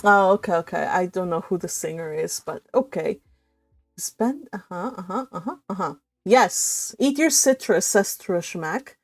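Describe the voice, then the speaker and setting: A woman hosting a casual livestream, chatting in an animated, teasing way. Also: lowish voice, moderate pace, dry recording. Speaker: host of a live read-along stream. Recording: music behind the voice. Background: music.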